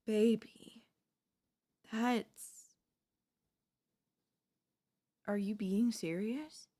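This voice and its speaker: A woman playing a devoted, possessive wife in an ASMR audio roleplay, speaking haltingly with deliberate dramatic pauses. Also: medium pitch, warm tone. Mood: anxious, stunned. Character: devoted wife, shocked then eerily composed, quietly possessive.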